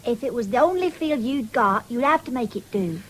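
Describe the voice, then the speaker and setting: High-voiced, nagging young country wife played by an actress. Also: female voice, lively intonation, fairly quick, crowd noise, close to an everyday voice. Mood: insistent urging. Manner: urging, reproachful. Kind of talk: 1970s British radio drama acting.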